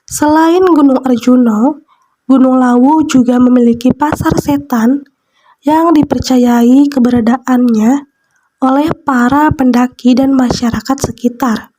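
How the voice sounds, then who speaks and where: A woman's voice: high voice, steady tone, slight background noise. A woman with a stuffy nose from a cold, chatting casually while eating on camera and reading a spooky story aloud, often saying "nah" between thoughts. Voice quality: nasal. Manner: slow, soft, frequent "nah" fillers while eating. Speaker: mukbang vlogger. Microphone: close mic for eating sounds.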